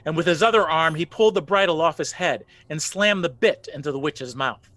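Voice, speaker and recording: A man narrating a spooky folk legend for a radio play with measured, theatrical storytelling. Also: fairly high pitch, fairly bright tone, no echo, natural voice. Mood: dramatic. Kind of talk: scripted dramatic narration.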